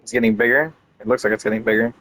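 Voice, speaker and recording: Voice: mid-range voice, steady tone, rapid-fire, fairly bright tone, rough recording. A streamer reacting to a game in casual commentary, amused, informal and rambling. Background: faint background music.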